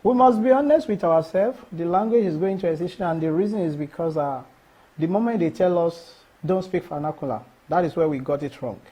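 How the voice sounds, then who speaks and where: A man's voice: on the high side, a little fast, warm tone, breathy edge. A middle-aged Nigerian man whose first language is Yoruba, answering interview questions earnestly and emphatically, often restarting phrases. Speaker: cultural festival organizer.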